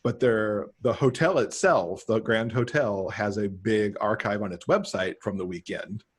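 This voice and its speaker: A middle-aged man with a husky voice, chatting casually as a guest author on a video call. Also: lowish voice, measured pace. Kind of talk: casual interview chat. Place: indoor room, on a video call.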